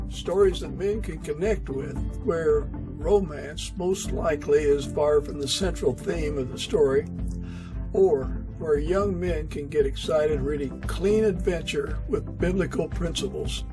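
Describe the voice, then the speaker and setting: Man, podcast host, fairly high voice, scripted and conversational delivery, clear music bed. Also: poor audio. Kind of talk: scripted intro and friendly interview talk.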